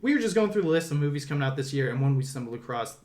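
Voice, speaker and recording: A man's voice: on the high side, big pitch swings, racing, a little mellow. A man hosting a podcast, talking casually about films in a conversational way. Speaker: podcast host. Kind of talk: casual film discussion.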